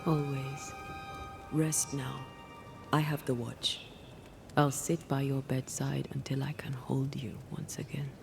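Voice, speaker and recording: Guarded woman ghost hunter, video game drama, talks slow n hushed, bit of fry, music under it. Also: very deep voice, level tone, heavily acted. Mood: loving, protective.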